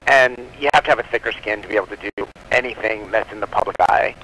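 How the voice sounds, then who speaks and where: A man's voice: lowish voice, quick pace, sharp tone, slight background noise, poor audio. A man talking at length in a casual radio interview as a guest, in a rambling, chatty way. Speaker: guest, comic book publisher.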